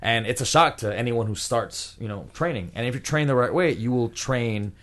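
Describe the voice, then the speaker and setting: A man's voice: mid-range voice, normal speed. A man in his thirties from Puerto Rico, a guest talking casually in an interview and laughing often. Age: 30s. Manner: relaxed, chatty, laughs often. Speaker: guest, pro wrestler and trainer.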